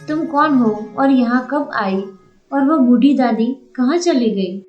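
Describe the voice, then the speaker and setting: A woman's voice: high-pitched, expressive, measured pace, faint music behind. A woman voicing a timid, friendly little girl in a Hindi moral story cartoon, childlike, in a dull, echoey recording. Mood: curious.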